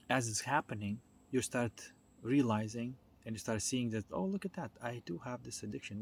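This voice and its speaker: A man giving an informal explanatory monologue, haltingly searching for his words as he goes. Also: on the low side, breathy edge. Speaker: speaker on self-development.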